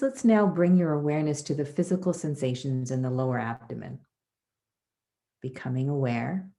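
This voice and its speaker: Very low, creaky-voiced woman guiding meditation. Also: warm tone. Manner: soothing, measured, unhurried pauses between phrases. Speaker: meditation teacher leading a class. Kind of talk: guided body scan meditation.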